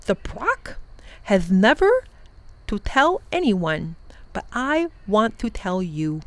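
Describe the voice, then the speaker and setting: A woman voicing a gentle old male Wangdoodle king who speaks in an earnest, confiding way in a storybook reading. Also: high voice, no room sound, pitched above the performer's own voice.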